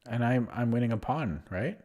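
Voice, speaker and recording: A man's voice: mid-range voice, expressive, moderate pace, a little mellow, muffled recording. A man streaming online chess, thinking aloud through his moves with a hesitant, self-deprecating manner and frequent fillers. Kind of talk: live game commentary.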